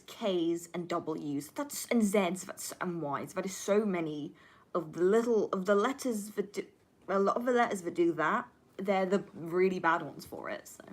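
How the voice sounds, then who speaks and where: A woman in her twenties with a slightly low voice talks casually to a livestream. Her first language is Flemish Dutch. She rambles candidly, swearing and stressing her points as she goes. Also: fairly bright tone. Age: 20s. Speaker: livestreamer and film student. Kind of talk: casual livestream chat answering viewer questions.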